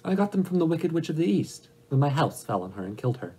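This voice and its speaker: Male reader as young storybook girl, raised pitch, meek, earnest, pleading, simply spoken. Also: very animated, fast, warm tone, husky, poor audio. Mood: meek, polite.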